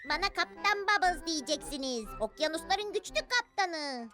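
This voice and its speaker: Cartoon little girl, excitable, playful, very high-pitched. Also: normal speed. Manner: playful, make-believe pirate talk. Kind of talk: cartoon dub acting. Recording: cartoon soundtrack with music.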